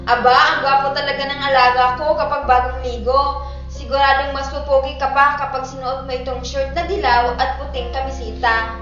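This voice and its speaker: A woman's voice: sits high, fairly even intonation, normal speed, fairly bright tone, soft background music. A woman in an amateur radio drama playing a caring family nanny who fusses and coaxes in a projected voice. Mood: doting, cheerful. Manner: doting, coaxing, projected. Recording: echoey, distant, dull lo-fi recording.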